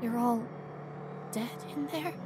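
A woman playing a frightened, stubborn young female ghost researcher in a first-person horror audio drama, with an intense, breathy delivery. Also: on the high side, takes it slowly, heavy background noise. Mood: shocked, pleading. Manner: intense, breathy, dropping to whispers and rising to cries.

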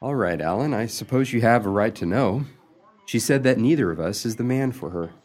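A man's voice: fairly low pitch, animated, a little fast, a little mellow. A man playing a jealous, lovesick suitor in an amateur radio play, earnest and exasperated, with a hesitant stammer. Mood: guarded, sly.

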